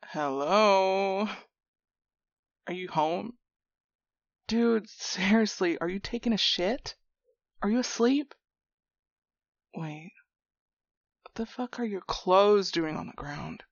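A woman playing a foul-mouthed, hot-tempered but protective young friend in a one-sided audio roleplay drama, with a husky, breathy voice and slangy, direct delivery. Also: mid-range voice, slow pace, pauses often, no echo, muffled recording. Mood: irritated, impatient. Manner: slangy, profane, talking directly to a buddy.

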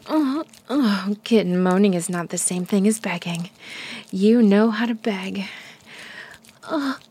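A woman whispering an intimate audio roleplay, breathy, teasing and commanding. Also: medium pitch, lively intonation, takes it slowly, darkish tone.

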